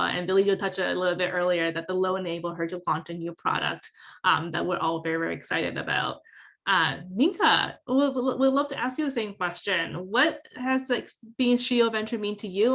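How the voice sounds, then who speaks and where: A woman's voice: medium pitch, brisk, a bit bright. A woman hosting an info call, friendly and moving the conversation along with questions. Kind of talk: moderating a video call. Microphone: video call.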